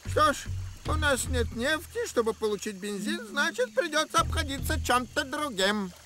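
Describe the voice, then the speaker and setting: An actor voicing an excitable old professor and jungle explorer in a cartoon, scholarly and enthusiastic. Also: male voice, extremely high pitch, moderate pace, music in the background. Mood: practical. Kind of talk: animated series voice acting in Russian.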